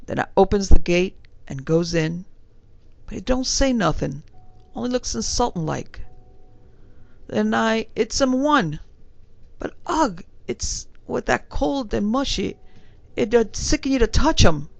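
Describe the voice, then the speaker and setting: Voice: medium pitch, animated, measured pace, pauses often, dry recording, pitched above the performer's own voice. A reader voicing a young male Cockney bellboy who drops his H's and keeps saying sir, blunt and uneasy. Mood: indignant. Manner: dropped H's, rough working-class speech, repeated sirs.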